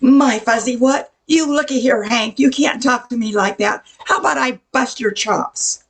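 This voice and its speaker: A brassy roadside diner waitress, played by an older woman in an amateur radio play. She is blunt and quick to take offense, drawing her words out slowly in a highish, creaky voice. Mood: indignant, scolding. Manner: sassy, blunt, indignant.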